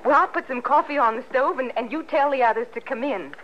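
A woman's voice: high-pitched, fast talker, close to an everyday voice. An actress playing a guarded but hospitable young American frontier woman in an old-time radio western. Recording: muffled vintage radio recording with noise.

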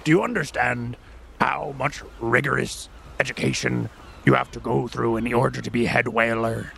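A man doing a gravelly, blunt sea captain. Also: medium pitch, steady tone, moderate pace, a bit bright, a little hiss or hum. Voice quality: raspy. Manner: blunt, matter-of-fact, old-fashioned phrasing. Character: gruff raspy male whaling ship captain, blunt.

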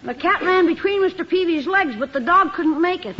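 A man's voice: extremely high pitch, expressive, heavy background noise. An actor playing a cheeky young boy nephew in a 1950s radio sitcom, dull old broadcast sound. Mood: earnest. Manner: boyish, earnest, quick.

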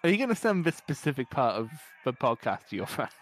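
A young man with a Scottish accent, talking in a loose, sweary way over a match. He laughs easily and gets excited as the action builds. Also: medium pitch, quick pace. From Scotland. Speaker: podcast co-host. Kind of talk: casual watchalong chat.

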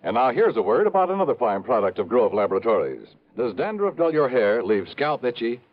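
A man working as a 1950s radio announcer, reading scripted lines and sales copy in a punchy, polished way. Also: mid-range voice. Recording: tinny, phone-like vintage radio recording.

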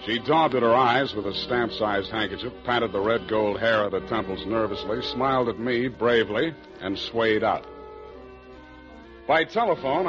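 A man's voice: mid-range voice, close to an everyday voice. Casting: man playing cool hardboiled private eye, mid-range voice, breezy sarcasm, old-time radio. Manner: dry, sardonic, breezy. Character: wisecracking, cool, cocky male private detective. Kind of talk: 1940s radio detective acting and narration. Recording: muffled old radio broadcast recording with music.

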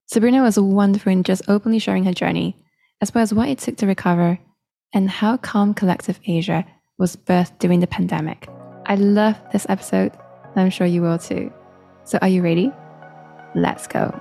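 A woman hosting a podcast, reading her episode introduction in a measured way. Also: medium pitch, creaky, mellow, faint music behind. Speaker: podcast host and producer.

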